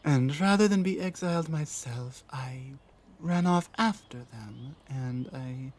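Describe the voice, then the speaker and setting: A woman voicing a lost, guarded female orc warrior in an ASMR roleplay, speaking earnestly and haltingly with pauses. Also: extremely low pitch, darkish tone, exaggerated voice. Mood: confessional, hopeful. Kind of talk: ASMR roleplay audio drama.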